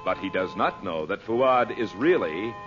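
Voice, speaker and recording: A man's voice: fairly low pitch, expressive, unhurried. An American man announcing in a formal, emphatic, scripted old-time radio style with dramatic delivery. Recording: muffled old radio broadcast recording. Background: music.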